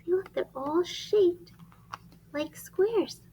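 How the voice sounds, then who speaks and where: A woman reading a picture book aloud to young children, expressive and emphatic, pausing to ask questions and point things out. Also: very high-pitched, slight background noise, low-quality recording. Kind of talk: animated children's read-aloud.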